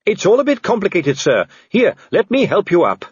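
Man playing a chipper, high-voiced young captain. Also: very animated, moderate pace, slightly rough, no room sound, muffled recording, full character voice. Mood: cheerful, helpful. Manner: chipper, deferential, matter-of-fact. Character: cheerful, earnest, polite young male watch captain. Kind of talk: audiobook character acting.

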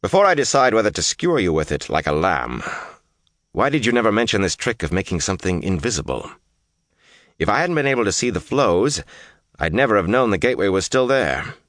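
A man voicing a hard young lord in an audiobook fantasy tale, cold and rough, giving terse commands and threats. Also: on the low side, sing-song, fairly quick, a bit bright, dry recording, studio quality, pitched above the performer's own voice. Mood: cold, threatening.

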